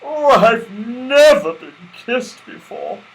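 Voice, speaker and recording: A kindly little toy rabbit, played by a man reading a storybook, pitched high and swinging up and down as he gushes. He is sentimental and excitable, stumbling slightly over his words. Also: takes it slowly, a little hiss or hum, heavily acted, rough recording. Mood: delighted, overwhelmed. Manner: gushing, hesitant, exclamatory. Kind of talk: children's storybook character voice.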